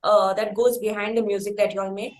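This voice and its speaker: A woman with an Indian accent interviewing in a conversational style, with wordy, halting phrasing. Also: medium pitch, little pitch movement. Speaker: podcast interviewer. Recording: roomy echo, faint background noise.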